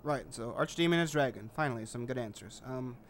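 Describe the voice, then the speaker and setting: A man hosting a let's play in a medium-pitched voice. His delivery is casual and offhand, with sarcastic remarks. The recording is slightly noisy, with game ambience behind him. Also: lively intonation, a little fast. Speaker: gamer, let's play host.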